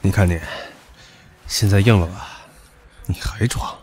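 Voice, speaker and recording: A man's voice: low-pitched, fairly even intonation, measured pace, darkish tone. A man playing a stubborn, possessive young suitor in a modern audio drama, talking in a cocky, crude, pushy way. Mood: taunting, demanding. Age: young adult.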